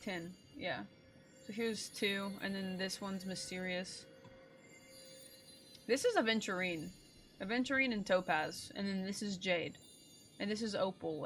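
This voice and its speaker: Bright-voiced excitable woman streamer. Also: medium pitch, moderate pace, pauses often, faint music behind. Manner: excitable, slangy, swearing. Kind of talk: casual game playthrough commentary.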